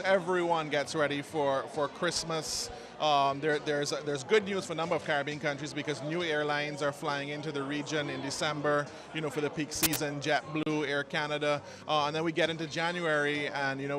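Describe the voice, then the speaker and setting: Barbadian man, casual interviewee, talkative and warm, busy crowd around him. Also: a little high. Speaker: interviewee, media conference producer. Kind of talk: casual interview at a launch event. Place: outdoors at a busy event. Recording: crowd chatter behind the voice.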